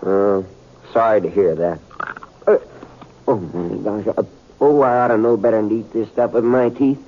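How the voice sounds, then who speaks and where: Casting: male actor as folksy, scheming frontier physician for radio western, husky mid-pitched voice, thin vintage broadcast sound. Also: steady tone, moderate pace, close to an everyday voice. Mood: jovial. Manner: folksy, rambling, self-satisfied. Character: genial, scheming small-town doctor in the old west. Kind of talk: 1950s radio drama acting. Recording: muffled 1950s radio recording.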